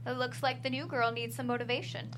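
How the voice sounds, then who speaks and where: An actress voicing tough, threatening guards and defiant captives in a screenplay table read. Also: female voice, on the high side, level tone, quick pace, noisy background, natural voice. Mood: menacing.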